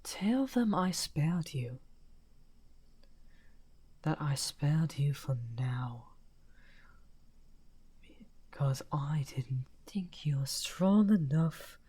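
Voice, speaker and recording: A man playing a lonely, misunderstood evil wizard in an ASMR roleplay, speaking softly and courteously in a breathy, whispery, halting voice. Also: fairly high pitch, lively intonation, full character voice. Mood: hopeful, coaxing. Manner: slow, halting, musing.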